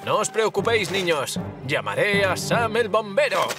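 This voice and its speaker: Helpful family-man role, cartoon, relaxed temper, slightly high and expressive. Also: normal speed. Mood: reassuring. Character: easygoing, helpful cartoon dad. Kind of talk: dubbed children's cartoon acting. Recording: loud music under the voice.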